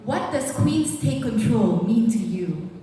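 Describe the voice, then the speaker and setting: A woman's voice: a little high, expressive, slow, mellow, a little hiss or hum. A woman moderating a press panel, upbeat and chatty. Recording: echoey hall, voice from far off.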